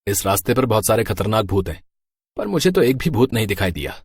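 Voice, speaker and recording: A man's voice: lowish voice, sing-song, brisk, husky, no room sound, heavily acted. A man playing a cocky, easily rattled biker in a horror story, talking colloquially. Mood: flirty, flustered. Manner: colloquial, stammers when flustered. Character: chatty, cocky married male biker, easily terrified. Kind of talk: horror story character dialogue.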